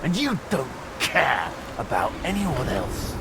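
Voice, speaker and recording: Video game villain, male: vengeful, theatrical, swooping high pitch, slow sneering menace. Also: huge pitch swings, noisy background, exaggerated voice. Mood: sneering, taunting. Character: bitter, vengeful male villain, taunting and enraged. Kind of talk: dramatic video game acting.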